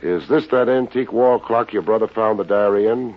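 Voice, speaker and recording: An actor playing a wisecracking, unsentimental private investigator in an old radio detective drama. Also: male voice, lowish voice, brisk, natural voice. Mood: blunt. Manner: wry, hard-boiled, slangy. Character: wisecracking, unsentimental male private investigator narrating his case. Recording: dull, noisy old radio recording.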